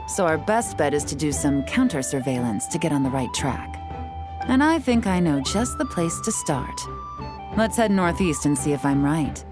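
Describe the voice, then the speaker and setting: Low-voiced, shrewd secret agent. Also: female voice. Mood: composed, assured. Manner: measured, knowing, self-assured. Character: shrewd, composed woman intelligence agent, confident and calculating. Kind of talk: video game voice acting.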